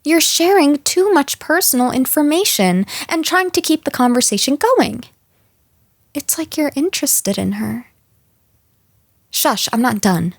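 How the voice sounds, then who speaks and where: A woman's voice: high-pitched, expressive, no room sound, studio quality. A woman playing a jealous, possessive, unhinged girlfriend in an intimate roleplay audio drama, taunting the listener with condescending sweetness and menace. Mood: jealous, incensed. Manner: slow, taunting, condescending pet names, slipping into breathy whispers.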